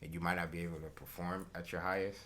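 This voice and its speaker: A young man being interviewed as a recording artist, talking in a relaxed, slangy way and thinking aloud with fillers. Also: low voice, fast, a crowd in the background. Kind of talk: casual interview chat.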